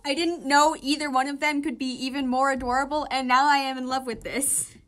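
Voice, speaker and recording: A young woman with a high voice reads fan comics aloud and chats about them. She is gushing and giggly, and swears freely as she reacts. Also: steady tone, a little fast, fairly bright tone.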